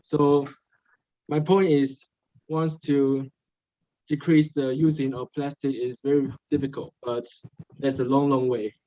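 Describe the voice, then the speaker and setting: Young Taiwanese student delegate, halting and unsure, slow, on a video call. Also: male voice, mid-range voice, flat delivery, warm tone, poor audio. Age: young adult. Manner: hesitant, halting non-native English.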